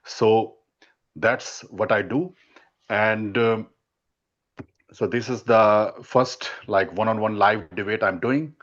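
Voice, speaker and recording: Indian-accented man on a dull mic. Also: on the low side. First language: Hindi. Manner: halting, many restarts and fillers. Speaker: dating coach. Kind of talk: online debate.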